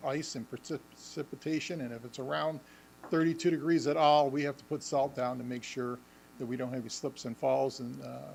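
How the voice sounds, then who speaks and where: A man presenting a facilities update at a school board meeting, halting with many ums and restarts, and losing his voice. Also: medium pitch, steady tone, gravelly, a little mellow, a little hiss or hum, low-quality recording. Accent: Western New York. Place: school administration meeting room.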